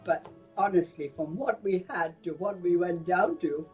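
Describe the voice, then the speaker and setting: An older woman from Burma shares memories in an interview. Her voice sits a little low and is soft-edged and mellow. She tells it plainly and with emphasis, over faint music. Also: unhurried. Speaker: interviewee recalling wartime life. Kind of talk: personal reminiscence.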